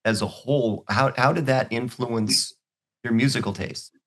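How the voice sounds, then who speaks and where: A man's voice: mid-range voice, normal speed, a bit bright. A man hosting a casual interview, often stammering and restarting before his questions. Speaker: interview host.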